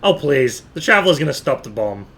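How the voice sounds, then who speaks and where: A man's voice: a little high, big pitch swings, brisk, sharp tone, faint music behind. A man giving casual, irreverent live commentary with playful asides while he plays a video game. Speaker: live streamer playing a game.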